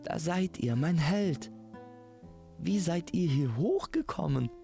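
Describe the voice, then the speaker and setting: Guy doing a eager flirty princess, easily miffed, higher voice, quick gushy lines, audio fairytale. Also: expressive, creaky, warm tone, soft background music, heavily acted. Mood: delighted, eager.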